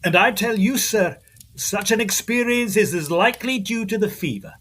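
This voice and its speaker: A skeptical period doctor in an audio drama. His voice is high for a man and rough-edged with huskiness. He argues formally and emphatically. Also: moderate pace, fairly bright tone. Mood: skeptical, insistent. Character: incredulous, rational doctor arguing with his patient.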